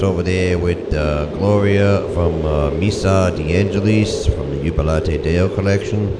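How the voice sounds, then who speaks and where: A man's voice: deep voice, very noisy. A man hosting a church music show, giving an easygoing, folksy rundown of the hymns and chants used at recent Masses. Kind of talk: casual spoken rundown of liturgical music.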